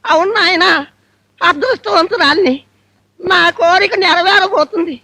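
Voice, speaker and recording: An actress playing a frail, very old, half-deaf bride who quavers and mishears everything, in a film comedy. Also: female voice, extremely high pitch, measured pace, sharp tone, no room sound, exaggerated voice. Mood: doddering. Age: older.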